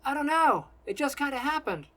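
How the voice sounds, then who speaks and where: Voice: medium pitch, a little fast, a bit bright, close to an everyday voice. A narrator voicing a solemn, compassionate young man with healing powers, dry and restrained. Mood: defensive. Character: solemn young male healer with godlike powers, compassionate, self-righteous.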